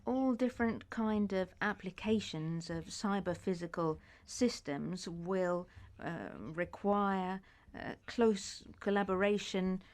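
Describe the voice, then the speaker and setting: Slow, measured woman interpreter. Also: medium pitch, darkish tone. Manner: measured, with frequent hesitations. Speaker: conference interpreter. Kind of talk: simultaneous interpretation of a speech.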